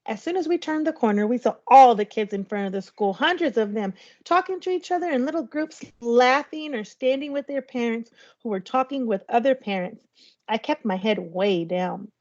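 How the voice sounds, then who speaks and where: Higher-voiced woman reading a story aloud. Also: lively intonation, normal speed, darkish tone, lo-fi sound. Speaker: teacher reading aloud to class. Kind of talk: storybook read-aloud narration.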